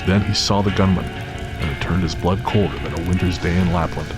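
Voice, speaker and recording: A man's voice: sits low, a little fast, music in the background, natural voice. A man reading as the grave storyteller of a suspense audio drama, in scripted dramatic narration. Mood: grave, suspenseful.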